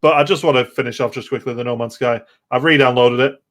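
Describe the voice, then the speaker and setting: A man chats casually as a podcast host about games, at a middling pitch. He rambles and hesitates, often repeating words as he finds his way through a sentence. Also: steady tone, fast, fairly bright tone. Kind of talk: casual gaming podcast chat.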